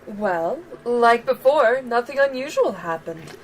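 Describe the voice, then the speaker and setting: Woman doing a high-voiced, prim young lady. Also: animated, slow pace, slight background noise, low-quality recording. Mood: earnest. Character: polite, dramatic, naive young lady witness.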